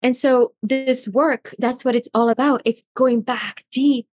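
A woman's voice: fairly high pitch, steady tone, measured pace, a little mellow, no room sound. Earnest woman from Brazil, dull dry sound. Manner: earnest and searching, many fillers and restarts. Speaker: guest, retreat facilitator. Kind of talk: reflective conversational interview.

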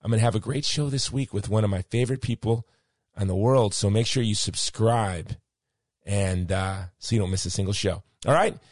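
Podcast host, male, hoarse and losing his voice, rambling storyteller, dry close sound. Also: fairly low pitch, normal speed, a little mellow, no room sound. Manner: rambling, personal storytelling with frequent ums. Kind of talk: casual monologue wrapping up a podcast.

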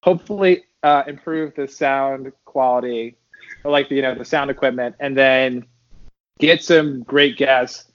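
A man being interviewed as a podcast guest, chatting casually in a loose, bantering, halting way. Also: mid-range voice, dull audio.

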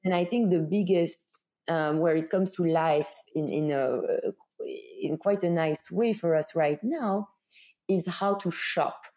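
A woman's voice: lowish voice, unhurried, dark tone. A woman from Belgium with a Belgian accent, answering interview questions as a brand founder in a conversational, explanatory way, often posing rhetorical questions.

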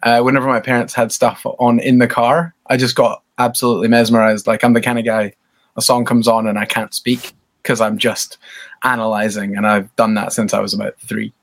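A man in his thirties from Scotland with a Scottish accent, chatting relaxed and self-deprecating as a podcast guest. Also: mid-range voice, fairly quick. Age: 30s. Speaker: podcast guest, band bassist. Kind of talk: casual interview chat.

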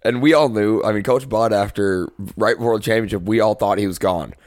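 Deep-voiced young podcast co-host. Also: male voice, brisk, darkish tone, no echo, studio quality. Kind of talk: casual sports chat.

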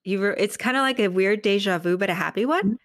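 A woman's voice: medium pitch, a little fast, no room sound, clean studio sound. A woman chatting casually as a podcast guest, informal, candid and enthusiastic. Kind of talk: casual conversational interview chat.

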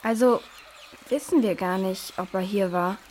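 A woman's voice: medium pitch, unhurried, darkish tone, slight background noise, lightly acted. A woman playing a caring, questioning young adventurer in a children's audio drama. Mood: concerned.

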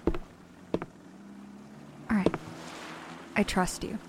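Candid, skeptical biographer. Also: female voice, drawn-out words, background noise. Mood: teasing, nervous. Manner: informal, candid, questioning. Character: candid, skeptical female biographer, sarcastic and easily amazed. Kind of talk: naturalistic sci-fi audio drama acting.

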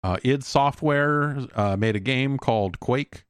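A man's voice: medium pitch, unhurried, smooth, dry recording, clean studio sound. A man hosting a podcast, talking casually and conversationally on his own about video games, rambling with wry asides and acted-out imagined exchanges. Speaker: podcast host. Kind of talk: casual solo video game podcast talk.

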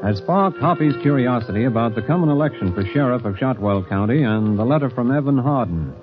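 A man narrating an old radio drama in a measured way. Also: medium pitch, lively intonation. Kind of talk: scripted narration. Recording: dull old radio recording with faint music.

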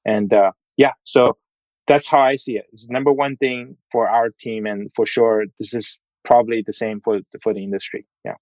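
A man speaking as a panelist on a panel, halting and correcting himself as he goes. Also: medium pitch, steady tone, a little mellow, dry recording. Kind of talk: panel discussion. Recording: dry, dull remote audio.

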